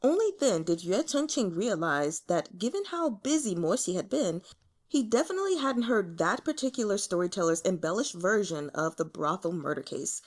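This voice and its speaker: A woman's voice: mid-range voice, sing-song, normal speed. A woman reading an audiobook, narrating a scripted story expressively. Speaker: audiobook reader.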